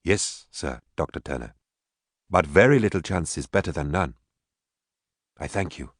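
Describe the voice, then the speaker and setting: A man voicing a courteous, resolute, gravely ill pharmacist with a British accent and a formal, pleading manner. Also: rumbling low, lively intonation, moderate pace, no echo, clean studio sound, full character voice. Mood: grateful resolve.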